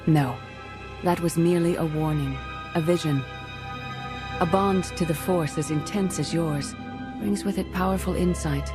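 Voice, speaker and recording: A serene female mentor from a space fantasy game played by an actress. She teaches in a lowish, solemn, measured voice with music clearly underneath. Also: lightly acted. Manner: measured, solemn, calmly instructive. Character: serene, wise female Jedi master mentor. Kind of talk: dramatic video game voice acting.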